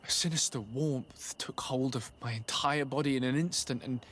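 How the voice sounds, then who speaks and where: A man's voice: medium pitch, normal speed, fairly bright tone, lightly acted. An earnest young noble diplomat from a fantasy game, played by a man. He speaks formally and with care, in a mid-range voice, over faint background noise. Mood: shaken, hushed. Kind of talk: video game cutscene dialogue.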